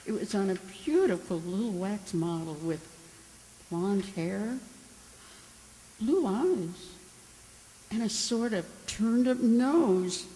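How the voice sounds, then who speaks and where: Lady doing nervous girlish housewife in a radio drama, drawn-out slow lines, echoey hall with some hiss. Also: medium pitch, animated, warm tone. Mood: sheepish, chatty. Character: anxious, naive, girlish young American wife. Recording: echoey hall with background noise.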